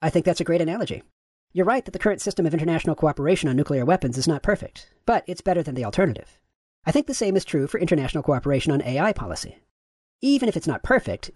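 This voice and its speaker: A man reading the lines of a courteous, gender-neutral AI chatbot, with his voice pitch-shifted upward. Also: darkish tone, no room sound, clean studio sound. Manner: courteous, affirming, asks follow-up questions. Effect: pitch raised.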